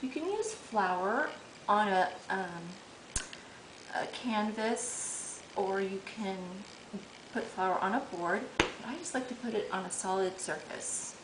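A middle-aged woman talks her viewers through baking in a home kitchen, chatty and upbeat as she works with her hands. Her voice sits in the middle range and bounces off hard kitchen surfaces. Dishes and pans clatter clearly behind her. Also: mid-range voice, expressive, takes it slowly, roomy sound, background noise. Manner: chatty, upbeat, talks while working dough. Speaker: cooking show host. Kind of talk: casual cooking demonstration.

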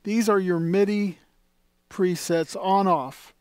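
A man's voice: sits high, fairly even intonation, slow, darkish tone, smooth, no room sound, studio quality. A man demonstrating an organ in a shop, talking casually with many fillers and restarts. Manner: rambling, full of fillers and restarts. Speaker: organ dealer demonstrating an instrument. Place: indoors at an organ console.

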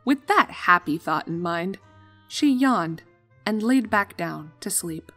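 A woman's voice: on the low side, animated, slow, fairly bright tone, faint music behind. A young adult woman reading scripted story narration as the narrator of a fan fiction.

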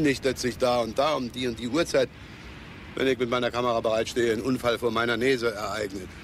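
An actor in a radio play voicing a self-justifying amateur cameraman who talks haltingly and keeps asking for agreement. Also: mid-range voice, a little hiss or hum, lightly acted. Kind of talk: radio play acting as interviewee.

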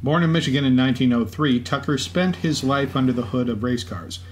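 A man's voice: mid-range voice, brisk, a little mellow. A man co-hosting a podcast with scripted narration. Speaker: podcast co-host. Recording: faint background ambience noise. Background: racetrack event ambience.

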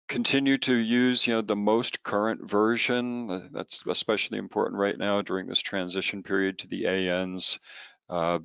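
Very deep-voiced man giving software training. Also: gravelly, no echo. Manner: informal, halting delivery with repeated words. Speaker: product trainer. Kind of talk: software training presentation over slides.

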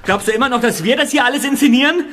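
A man's voice: very high-pitched, very fast, a bit bright, slight background noise. A man voicing an injured, exasperated teenage boy in an audio drama. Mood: hurt. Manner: strained, exasperated.

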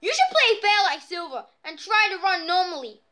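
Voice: very high-pitched, very animated, normal speed, pushed and bright, exaggerated voice. A performer voicing a cocky, mouthy young male hedgehog in an amateur comic dub, speaking emphatically. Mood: indignant.

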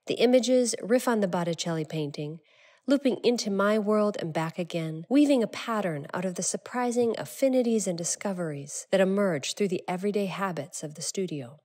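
A woman artist calmly reading a reflective, prepared statement about her studio practice. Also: mid-range voice, a little fast. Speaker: exhibiting artist.

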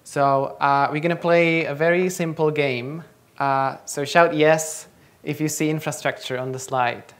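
A man's voice: fairly high pitch, fairly even intonation, a little slow, hardly any pauses, fairly bright tone. A young man from the Czech Republic, a native Czech speaker, giving a conference talk in a lecture hall and quizzing the audience. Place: large lecture hall, on stage.

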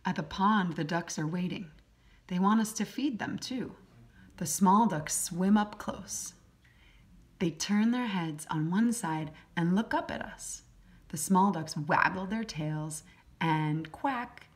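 A woman reading a children's picture book aloud as a teacher, in simple, deliberate short phrases. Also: fairly low pitch. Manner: acts out sound effects. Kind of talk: children's storybook read-aloud.

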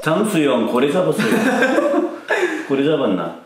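A middle-aged man with a Gyeongsang accent hosts a casual classroom Q&A, teasing his student as he reads viewer questions. His pitch swings widely. The sound bounces around a small echoey classroom, picked up by a camera mic across the table. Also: wildly swooping pitch, measured pace, noisy background. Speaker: academy teacher and channel host. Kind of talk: casual Q&A chat.

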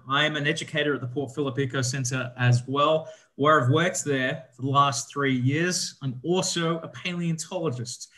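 A young man hosting an online event as an educator, upbeat and clear. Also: a little high, bright, forceful tone. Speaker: educator and host. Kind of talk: webinar hosting. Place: room on video call. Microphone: video call audio.

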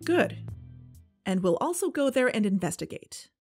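A woman's voice: mid-range voice, big pitch swings, normal speed, a little mellow, breathy edge, soft background music. A woman playing an eager, determined amateur investigator in an audio drama, with a persuasive, urgent manner. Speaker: investigator and podcast co-host.

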